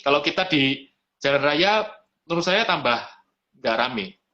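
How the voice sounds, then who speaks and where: A man's voice: on the high side, lively intonation, measured pace, sharp tone. A man, a restaurant owner explaining his business in an easygoing chat, sprinkling in English business words. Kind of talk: casual interview chat. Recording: lo-fi live stream audio.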